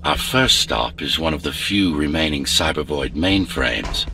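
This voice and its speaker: A man playing a calculating, commanding architect who guides a warrior in a video game, speaking in a measured, authoritative, instructive way. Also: rumbling low, animated, vocal fry, bright, forceful tone, far from the mic, faint music behind, heavily acted. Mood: calm, instructive. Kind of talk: scripted game dialogue.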